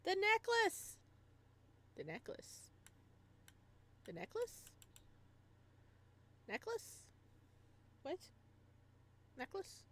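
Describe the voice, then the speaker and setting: A woman's voice: extremely high pitch, huge pitch swings, very slow, long pauses, a bit bright, slight background noise. A bubbly, giggly woman hosting a livestream, chatting casually with viewers and reading a visual novel aloud. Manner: bubbly, giggly, rambling reactions to chat and story. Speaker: livestreamer and host.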